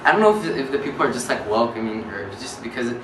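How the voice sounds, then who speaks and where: A teenage boy from Egypt, a high school student who rambles a little and fills his answers with likes. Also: lively intonation, quick pace, echoey room, distant mic, noisy background. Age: teens. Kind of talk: casual interview answers.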